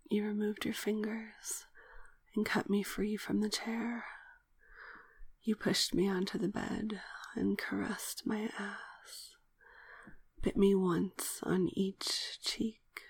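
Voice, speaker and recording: A woman narrating a scripted, sensual first-person story in a hushed, breathy whisper, speaking in short deliberate phrases. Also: medium pitch, no room sound. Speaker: narrator of erotic audio story.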